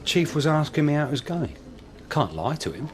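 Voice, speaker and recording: An actor playing a dutiful but needling detective sergeant in a radio drama. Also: male voice, on the high side, very animated, normal speed. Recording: radio drama with clear background noise.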